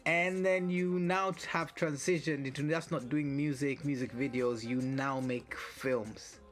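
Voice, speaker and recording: A man's voice: mid-range voice, steady tone, measured pace, faint music behind. A man, interviewing a guest with engaged, curious questions. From Nigeria.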